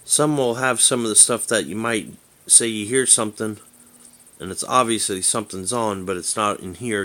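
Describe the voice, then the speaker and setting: Male hobbyist vlogger, low-pitched and forceful in tone, casual walkthrough, meandering and hesitant, faintly noisy recording. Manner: halting, rambling, thinking aloud. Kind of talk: casual hands-on app demonstration.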